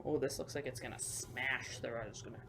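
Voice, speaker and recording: A woman hosting a children's storytime, reading picture books aloud with emphatic delivery and hushed asides. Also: extremely low pitch, steady tone, fairly quick, slightly rough. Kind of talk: picture book read-aloud for young children.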